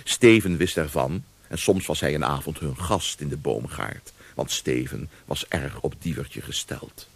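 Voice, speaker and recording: A man's voice: extremely low pitch, measured pace, vocal fry, few pauses, breathy edge. A man narrating a scripted radio drama as storyteller.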